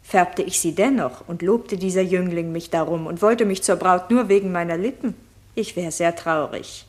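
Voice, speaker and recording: An actress playing a modest, anxious and gracious young shepherdess in a classical German radio drama. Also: female voice, lowish voice, moderate pace, darkish tone, natural voice. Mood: earnest.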